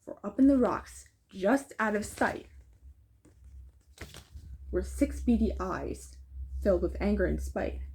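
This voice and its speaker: A woman's voice: medium pitch, animated, slow, pauses often, a little mellow, a little hiss or hum, low-quality recording. A woman reading a rhyming children's story aloud, with casual asides as she goes. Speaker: storybook reader.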